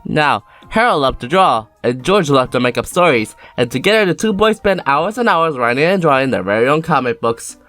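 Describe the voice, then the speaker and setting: A narrator reading a children's storybook aloud in an animated, theatrical way for a fan dub. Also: mid-range voice, brisk, hardly any pauses. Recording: music behind the voice.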